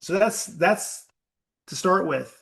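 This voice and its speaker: A man leading a live group workshop, talking slowly and emphatically and putting questions to his audience. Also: sits high, animated, vocal fry, a bit bright. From United States. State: sweating. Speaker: workshop leader.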